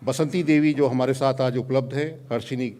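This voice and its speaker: Male, Indian accent, medium pitch; official making a semi-formal public speech, somewhat halting. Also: fairly even intonation, warm tone. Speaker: government official giving a welcome address.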